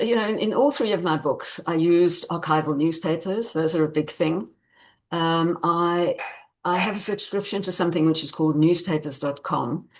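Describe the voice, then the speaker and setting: A woman author answering interview questions in a conversational, measured and explanatory way, repeating phrases for emphasis. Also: low voice. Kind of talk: conversational interview answers about own book.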